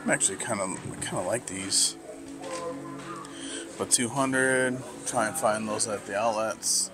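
A man vlogging casually as he browses sneaker stores in a mall, talking slowly and musing to himself with lots of fillers. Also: fairly low pitch, music in the background. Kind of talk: casual walk-through commentary.

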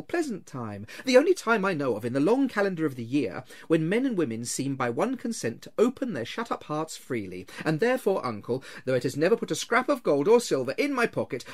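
A man voicing a cheerful, warm-hearted nephew in a storybook reading, eager and earnest. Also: a little high, pitched above the performer's own voice.

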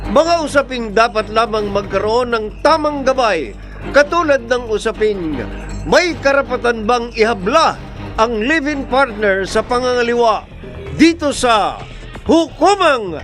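A man's voice: very high voice, animated, measured pace. A man with a Filipino accent delivering a dramatic scripted introduction as a radio drama announcer and host. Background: music.

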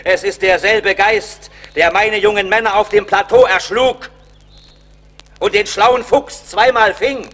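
Slow, higher-pitched grave warrior. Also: male voice, fairly even intonation, fairly bright tone. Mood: menacing, grand. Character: Huron warrior, grave and dramatic. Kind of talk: German radio play acting.

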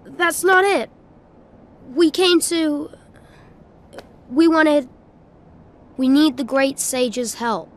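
A woman's voice: high-pitched, extremely slow, pauses often. A woman voicing an earnest, plucky young hero in a fantasy game, eager in manner.

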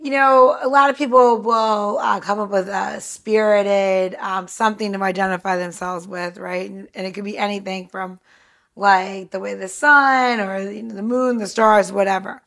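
A woman's voice: medium pitch, steady tone, normal speed, gravelly, fairly bright tone. A woman co-hosting a podcast, talking in a casual, chatty style. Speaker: podcast co-host. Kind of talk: casual chat.

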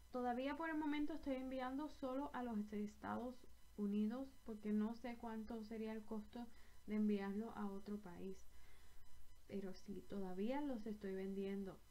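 A young Puerto Rican woman talks to her webcam in a small bedroom, her voice sitting a little high. She chats in a loose, rambling way, often stopping to correct herself mid-phrase. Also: moderate pace, warm tone, poor audio. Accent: Puerto Rican Spanish. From Puerto Rico. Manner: chatty, rambling, self-correcting. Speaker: knitting vlogger. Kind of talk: casual chat to camera.

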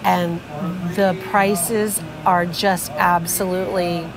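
A middle-aged woman chats casually outdoors, filling in details and agreeing readily. A camera a few meters away picks her up on a marina dock. Steady outdoor noise sits under her voice. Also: on the low side, slow. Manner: agreeable, chimes in with affirmations. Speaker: vlog co-host. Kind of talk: casual chat. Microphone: fixed camera at a few meters.

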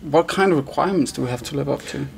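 A man's voice: a little high, fast, creaky, slight background noise. A man in his thirties hosting a casual question-and-answer interview. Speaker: host, interviewer.

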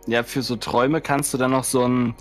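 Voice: mid-range voice, steady tone, moderate pace. A giggly, hesitant live-stream host bantering casually with others. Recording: music behind the voice. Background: music.

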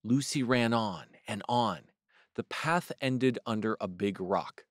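A man reading a children's picture book aloud with expressive, varied storybook delivery. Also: fairly low pitch, slow, no room sound, clean studio sound.

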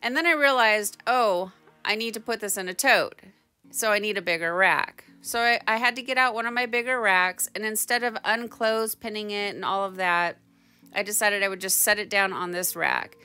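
Bright-voiced woman giving a casual tutorial. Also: mid-range voice, normal speed, clean tone, faint music behind. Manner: chatty, conversational, informal. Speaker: tie-dye tutorial host. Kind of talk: casual instructional voice-over.

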